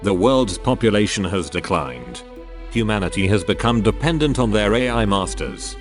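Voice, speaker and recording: A man delivers dramatic scripted science fiction narration. His voice is fairly low and moves expressively up and down. Music plays clearly beneath him. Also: lively intonation, normal speed. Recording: music under the voice.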